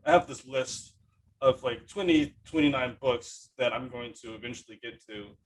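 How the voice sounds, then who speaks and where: A man hosting a casual podcast chat, talking in a rambling, halting but enthusiastic way. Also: mid-range voice, slight background noise, low-quality recording. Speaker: podcast host, writer.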